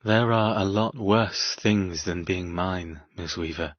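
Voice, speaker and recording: Dark-romance audiobook captor: low, breathy-rough, soft-spoken, possessive, deliberate. Close dry recording. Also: male voice, dry recording, muffled recording. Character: possessive, intense man tending his wounded captive woman. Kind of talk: audiobook character dialogue.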